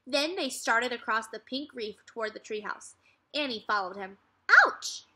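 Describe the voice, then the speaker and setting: A young adult woman reads a children's story aloud as a teacher, with expressive, clearly phrased narration. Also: fairly high pitch, moderate pace. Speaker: teacher reading aloud to second graders. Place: furnished room at home, indoors.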